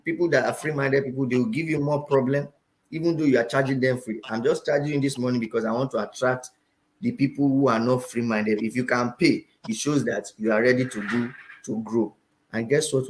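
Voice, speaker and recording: A man with a Nigerian accent, a finance teacher talking as a guest in an interview, animated and often repeating phrases for emphasis. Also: mid-range voice, poor audio. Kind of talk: interview talk.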